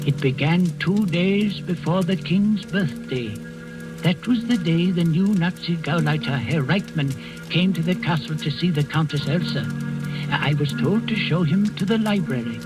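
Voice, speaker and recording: High-voiced, rambling old castle servant played by a man. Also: lightly acted, rough recording. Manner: rambling, deferential, theatrical. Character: rambling, sly old Danish castle servant feigning senility. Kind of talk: 1940s radio drama acting, narrating. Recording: old radio recording with music behind.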